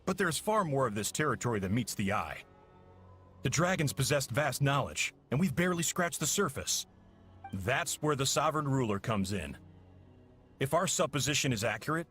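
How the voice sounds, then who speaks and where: A man's voice: medium pitch, expressive, normal speed, fairly bright tone, faint music behind, close to an everyday voice. An actor playing a confident, calculating male villain commander in a game, with a commanding manner. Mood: explaining.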